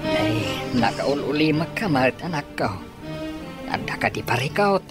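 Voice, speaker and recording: A man's voice: a little high, huge pitch swings, a little slow, over music. A man with an Ilocano Filipino accent playing a cautious, moralizing middle-aged father in a radio drama. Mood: disapproving.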